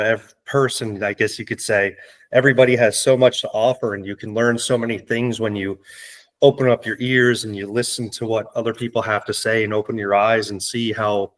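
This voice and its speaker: Earnest male host, slightly low voice, loose chatty delivery. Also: low-quality recording. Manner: earnest, heavy use of "you know" fillers. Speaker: podcast host. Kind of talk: casual conversation.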